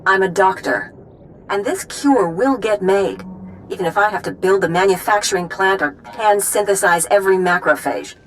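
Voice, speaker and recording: An actress playing a driven doctor who speaks in a brisk, blunt, businesslike way. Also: female voice, mid-range voice, a little hiss or hum, close to an everyday voice, poor audio. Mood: defiant.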